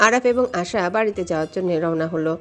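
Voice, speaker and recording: A woman's voice: on the low side, quick pace, fairly bright tone, faint music behind. A woman reading scripted romantic story narration as the narrator.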